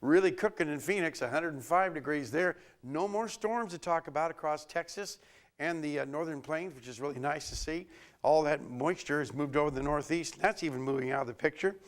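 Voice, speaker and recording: A man presenting a local TV weather forecast, a little hoarse from a cold, talking loosely with many fillers and restarts. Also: a little high, a little fast.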